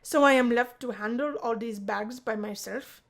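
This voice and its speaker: A woman's voice: a little high, moderate pace, creaky. Visual novel role: strong young guy played by a woman, theatrical, formal, long-suffering. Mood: indignant. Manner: melodramatic, formal phrasing. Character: dramatic, put-upon big strong male student. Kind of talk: visual novel character voicing.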